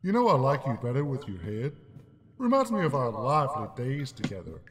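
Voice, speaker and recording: A man's voice: mid-range voice, huge pitch swings, fairly quick. A man voicing a male pony villain, sinisterly fond, in a horror audio story.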